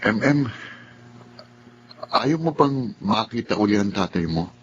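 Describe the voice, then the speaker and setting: A man's voice: on the low side, animated, unhurried. A man acting a brooding, reserved student son in a Filipino radio drama. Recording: dull audio with faint background noise.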